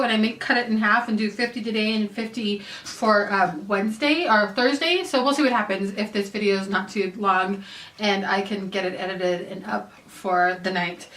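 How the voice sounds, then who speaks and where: A woman's voice: medium pitch, a bit bright. A woman in her late thirties from California, chatting casually through a question-and-answer vlog, rambling with lots of "um" and "like". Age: 30s. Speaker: YouTuber. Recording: roomy echo, mic at a distance.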